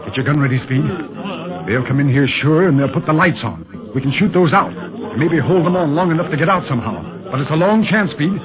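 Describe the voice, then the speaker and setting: An actor playing a calm, protective American secret police agent in a 1930s radio adventure serial, speaking with earnest authority in a husky voice. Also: male voice, on the high side, a little fast, a crowd in the background, close to an everyday voice. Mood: urgent, tense. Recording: muffled, scratchy 1930s radio recording.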